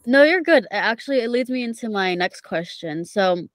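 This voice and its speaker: A woman's voice: fairly high pitch, animated, moderate pace, dry recording. A young woman from Indonesia conducting a casual interview, asking prepared questions. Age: young adult. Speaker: interviewer, student.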